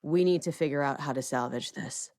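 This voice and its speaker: A tough government agent in a game drama, with a low voice. She is blunt and no-nonsense, with dry sardonic delivery. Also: a little fast, a little mellow. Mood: stern, sardonic.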